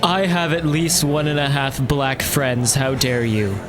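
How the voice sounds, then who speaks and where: A man's voice: medium pitch, steady tone, a little slow, over loud music, natural voice. A man playing a blustering conservative talk show host who rants indignantly and rambles.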